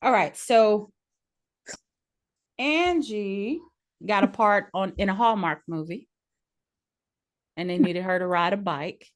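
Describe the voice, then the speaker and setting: A woman's voice: medium pitch, slow pace, pauses often. A woman in her forties co-hosting a podcast, talking in a frank, opinionated way and laughing easily. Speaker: podcast co-host. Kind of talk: casual chat.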